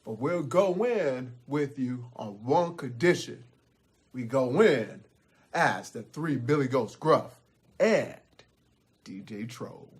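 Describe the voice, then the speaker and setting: A man's voice: mid-range voice, animated, slow pace, slightly rough, voice pushed down. A man voicing a confident big-brother billy goat with a bold, slangy, rhythmic delivery in a told story. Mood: rallying. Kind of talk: theatrical storytelling.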